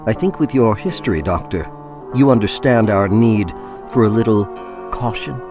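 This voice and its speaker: An actor playing a stern, ominous alien agent in an audio drama, speaking in a grave, measured, portentous manner. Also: male voice, fairly low pitch, music in the background, lightly acted.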